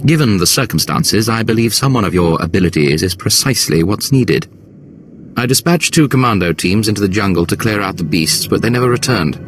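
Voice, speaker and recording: A man's voice: fairly low pitch, expressive, a little fast, a little hiss or hum, close to an everyday voice. A man playing a formal, respectful Imperial military commander in a video game cutscene. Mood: urgent.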